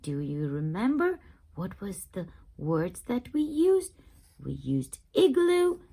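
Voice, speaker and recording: A woman's voice: medium pitch, big pitch swings, slow, mellow, slightly rough. A woman reading a picture book aloud to young children in a teacherly manner, putting questions to the listeners. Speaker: children's read-aloud channel host.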